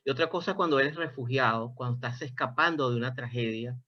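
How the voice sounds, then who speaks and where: A man's voice: mid-range voice, quick pace. A Venezuelan man interviewing a guest, speaking in a thoughtful, expansive way and often restarting his phrases. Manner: thoughtful, expansive, self-correcting. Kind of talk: reflective interview conversation. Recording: dull lo-fi sound.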